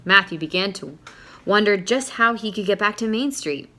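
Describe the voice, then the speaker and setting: A woman's voice: medium pitch, expressive, moderate pace, pushed and bright. A woman reading a children's picture book aloud expressively, stopping to put questions to young listeners.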